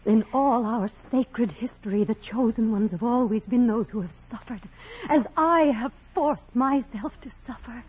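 Strict bitter older-ranking nun, super husky, clipped n intense, 1940s radio play, muffled old recording. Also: female voice, a little high, a little slow, hardly any pauses, darkish tone. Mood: bitter anguish. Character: severe, bitter senior nun, proud, doubting and anguished. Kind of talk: 1940s radio drama acting. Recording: dull, hazy vintage radio recording.

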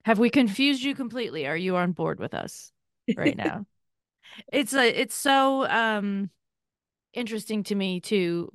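A woman's voice: mid-range voice, expressive, moderate pace, dry recording, studio quality. A woman in her forties, a podcast co-host and Chinese medicine practitioner, explaining in an enthusiastic, chatty way. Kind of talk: conversational explanation.

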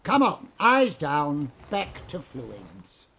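An actor playing a pompous, bullying theme park manager with an English accent, in audio drama style. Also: male voice, on the high side, huge pitch swings, very slow, creaky, a bit bright, slight background noise, heavily acted. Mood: officious. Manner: pompous, theatrical.

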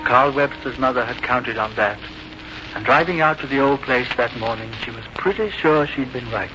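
A man's voice: medium pitch, normal speed, noisy background. A man hosting and narrating a radio drama with scripted storytelling in 1950s style. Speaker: host and narrator. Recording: dull 1950s radio recording. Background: music.

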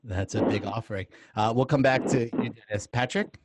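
A man hosting a podcast, reading a clear, steady introduction and asking guests questions. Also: mid-range voice, a little fast, background noise. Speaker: podcast host. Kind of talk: scripted podcast intro and interviewing.

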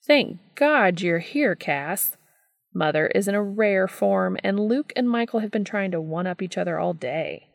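A flamboyant older brother who teases loudly and bluntly, as a woman reading a story acts him out. The voice has a gravelly edge. It sounds close and dry, with no room around it. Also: medium pitch, lively intonation, measured pace, no echo. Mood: teasing, brash. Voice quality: loud and teasing.

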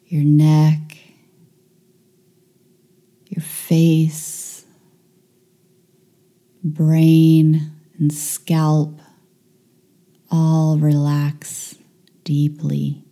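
A woman guides a meditation in a deeply soft, dark and relaxed voice. She speaks extremely slowly, leaving long pauses between short instructions. Her delivery is calm and soothing, with very little movement in pitch.

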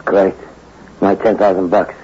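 A man's voice: sits low, level tone, unhurried, mellow, husky. A man playing a tough, bitter small-time hoodlum in a 1960s radio crime drama, with slangy, hard-bitten delivery. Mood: bitter, menacing. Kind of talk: 1960s radio crime drama acting with first-person narration. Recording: dull old radio recording with music behind.

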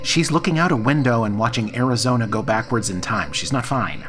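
A man's voice: medium pitch, animated, brisk, fairly bright tone, slight background noise, lightly acted. An actor playing a practical, steadying diner crewman in an audio drama, plain-spoken and a little hesitant. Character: practical, steadying male diner crew member. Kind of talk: naturalistic audio drama acting.

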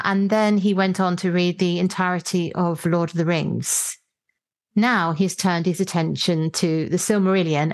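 Female podcast host, lower-pitched voice, articulate and explanatory interview delivery.

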